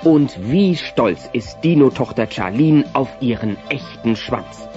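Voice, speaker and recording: A man's voice: mid-range voice, lively intonation, a little slow, lightly acted. A man narrating a German comedy audio play in a storytelling style. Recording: slightly muffled tape transfer. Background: music.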